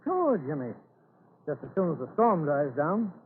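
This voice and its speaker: An actor playing a steady, worried farmer father in an old-time radio drama, reassuring his family through hard times. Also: a little high, normal speed. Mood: weary, resigned. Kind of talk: 1940s radio drama acting. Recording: scratchy tinny old radio recording.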